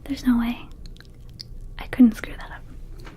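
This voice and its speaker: Woman playing a friendly young female vampire clerk, slow breathy whisper, hesitant rambling, ASMR roleplay. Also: warm tone, lightly acted. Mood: airy, self-assured. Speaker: ASMR performer.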